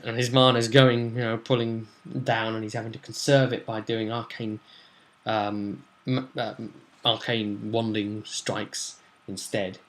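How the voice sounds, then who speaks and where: A young man casually recapping a tabletop game as its game master, with a theatrical, animated storytelling manner. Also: fairly low pitch, measured pace. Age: young adult. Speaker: game master and vlog host. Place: small room at home, indoors.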